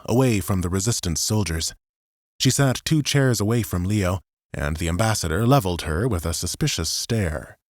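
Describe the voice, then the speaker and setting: Lower-pitched male audiobook narrator. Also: a little mellow, dry recording, studio quality. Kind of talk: scripted dramatic fiction narration.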